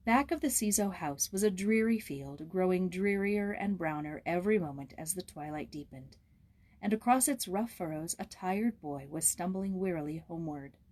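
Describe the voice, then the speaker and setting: A woman's voice: fairly low pitch, animated, moderate pace, darkish tone. A woman reading a storybook aloud as host, with casual asides.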